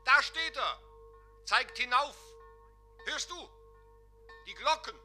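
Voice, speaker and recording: A man's voice: extremely high pitch, animated, takes it slowly, pushed and bright, faint music behind, full character voice. A man in a German radio play acting a dreamy, entranced pastor, speaking in short, drifting phrases. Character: dreamy pastor drawn away uphill, quietly entranced.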